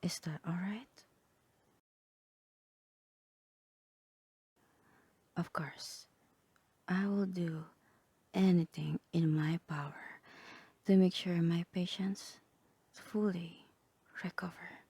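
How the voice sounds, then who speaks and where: A woman voicing a calm, composed therapist in a soft, breathy, half-whispered ASMR roleplay, measured and clinical. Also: on the low side, no room sound, exaggerated voice. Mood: soothing, professional. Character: calm, composed female therapist guiding a patient through roleplay.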